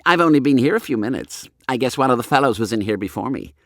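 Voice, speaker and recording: A man voicing a calm, cheeky and supercilious fourteen-year-old American boy in an audiobook. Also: mid-range voice, fast talker, dry recording, studio quality, pitched above the performer's own voice. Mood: cheeky, evasive. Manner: supercilious, coolly insolent.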